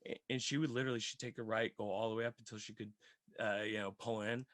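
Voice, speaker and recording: A raspy-voiced man hosting a podcast, rambling earnestly at length in casual chat. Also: fairly low pitch, fairly even intonation, quick pace, noisy background.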